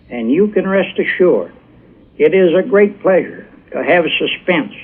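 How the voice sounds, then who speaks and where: Slow, stiff executive on a tinny broadcast. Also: male voice, a little high, slightly rough. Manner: stiff, formal, read-out speech. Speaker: company president. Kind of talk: formal prepared remarks. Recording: tinny, phone-like old radio broadcast.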